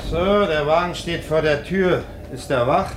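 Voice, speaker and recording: A man's voice: a little high, noisy background, natural voice. An actor playing a grumbling, chatty rural coachman in a German radio play, with a folksy manner. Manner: folksy, unhurried.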